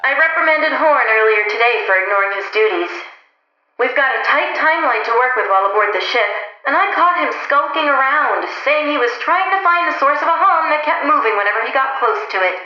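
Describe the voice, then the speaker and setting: A woman's voice: high-pitched, lively intonation, brisk, sharp tone. A woman playing an exasperated, matter-of-fact research supervisor in an audio drama, recorded as a radio-filtered log. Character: exasperated female research team supervisor on derelict starship. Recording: echoey, muffled radio log.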